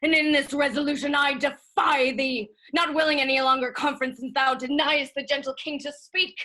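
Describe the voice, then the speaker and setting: Woman playing a proud, bright-voiced young royal claimant. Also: sits high, fairly even intonation. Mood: fierce defiance. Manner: declamatory, haughty, measured verse delivery. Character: Edward, proud defiant young male claimant to the throne. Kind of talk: Shakespearean staged reading. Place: remote reading over a video call.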